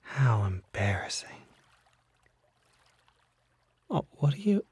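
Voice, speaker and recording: Casting: man to play a seductive kelpie for ASMR roleplay, very husky half-whisper, mellow, coaxing and sly. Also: medium pitch, normal speed, no room sound. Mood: feigned embarrassment, flirtatious. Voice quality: soft, breathy, often whispered. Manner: coaxing, flattering, falsely modest, teasing. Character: charming, unsettling male kelpie, smooth-talking seductive lurer. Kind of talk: ASMR roleplay, intimate one-sided acted dialogue. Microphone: close microphone.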